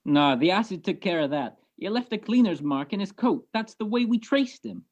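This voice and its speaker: A gruff, wisecracking homicide sergeant , acted by a woman, from an old detective radio play. The delivery swings widely in pitch, with blunt slang and sardonic jabs at the suspects. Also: expressive, moderate pace, a little mellow, dull audio, lightly acted. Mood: matter-of-fact, dry. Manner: sardonic, slangy, blunt tough-cop talk. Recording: video call recording.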